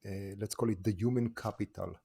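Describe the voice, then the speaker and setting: Low-voiced man hosting an interview. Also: fairly even intonation, fairly quick, gravelly, dark tone, breathy edge. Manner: friendly, rambling questions. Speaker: podcast host. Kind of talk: casual interview chat.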